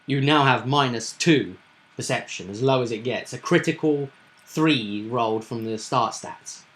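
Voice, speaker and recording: A man's voice: medium pitch, unhurried, a bit bright. A man running a tabletop roleplaying game, explaining things in a reflective, conversational way. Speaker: game master.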